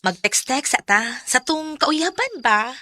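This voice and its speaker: An actress with a Visayan Filipino accent playing a playful co-worker friend in a radio drama. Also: female voice, high voice, sing-song, unhurried, bright, forceful tone, no room sound, studio quality, exaggerated voice. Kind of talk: Cebuano radio drama acting.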